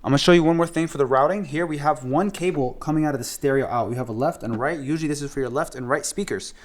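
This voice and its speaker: A man's voice: a little high, fast. A man giving a casual hands-on tutorial as an instructor, explaining things step by step in plain words and repeating key points. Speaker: instructor demonstrating audio gear.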